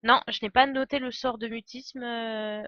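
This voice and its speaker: A woman's voice: on the high side, fairly even intonation, unhurried, no echo, dull audio. A woman streamer giving casual live game commentary, thinking aloud in a hesitant way and correcting herself. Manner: hesitant, musing, self-correcting. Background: soft game music.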